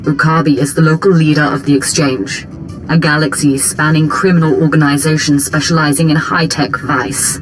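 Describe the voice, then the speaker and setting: A woman playing a smooth, ambitious imperial diplomatic operative in a video game, speaking in formal, polished, deferential phrases. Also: low-pitched, steady tone, normal speed, music in the background, lightly acted, poor audio. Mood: formal, persuasive. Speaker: imperial special operations chief. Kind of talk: dramatic video game dialogue.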